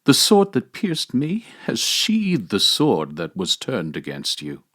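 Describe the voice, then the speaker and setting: A man's voice: medium pitch, huge pitch swings, slow pace, fairly bright tone, husky, full character voice. A man voicing a majestic, commanding yet tender Christ among the dead in a solemn, declamatory dramatic reading of a homily. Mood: grave, tender.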